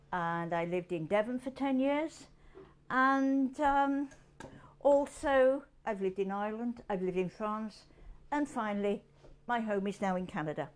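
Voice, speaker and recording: An older woman with a Yorkshire accent, hosting a casual cooking demonstration in her home kitchen, chatting with rambling asides as she works. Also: fairly high pitch. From England. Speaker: cooking show host.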